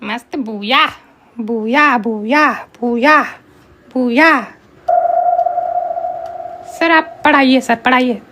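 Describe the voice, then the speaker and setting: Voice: mid-range voice, drawn-out words, pauses often, noisy background. A performer voicing a cheeky young schoolboy who needles the adults in a comic cartoon. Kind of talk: comic cartoon dialogue in colloquial Hindi.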